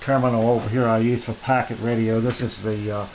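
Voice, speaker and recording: An American man giving a casual, unscripted tour of his radio room in a halting, rambling, folksy and polite way. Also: medium pitch, little pitch movement. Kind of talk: casual unscripted show-and-tell narration. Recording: dull lo-fi home video, faint noise.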